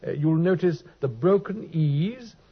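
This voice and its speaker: An actor playing a brilliant, dryly teasing detective in a vintage radio drama, speaking with crisp, precise wit. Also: male voice, on the high side, animated, unhurried. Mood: wry. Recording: dull old radio recording.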